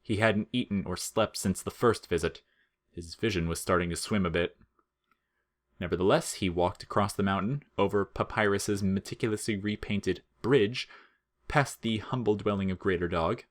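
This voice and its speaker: A man with a low-pitched voice narrates a piece of fiction. He reads from a script in the manner of an audiobook storyteller. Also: moderate pace.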